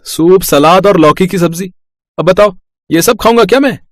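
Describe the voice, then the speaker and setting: A man's voice: high voice, normal speed, dry recording, clean studio sound, lightly acted. A man playing a polite, evasive young college boyfriend in a moral story. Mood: casual, frank. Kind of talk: animated story dialogue.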